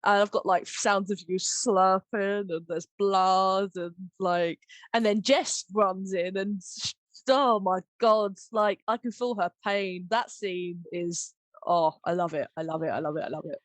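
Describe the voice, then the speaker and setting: A woman hosting a casual behind-the-scenes chat, gushing and giggly as she retells things in an animated way. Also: medium pitch, measured pace, dry recording. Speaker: podcast host and audio drama producer.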